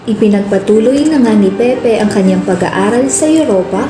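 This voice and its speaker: A woman's voice: mid-range voice, lively intonation, normal speed, close to an everyday voice. A young Filipino woman narrating as a teacher telling a story to her class in a student radio drama. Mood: expository. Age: young adult. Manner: expository, prompting pupils with questions. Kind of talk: scripted narration, storytelling lesson. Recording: echoey room with background music.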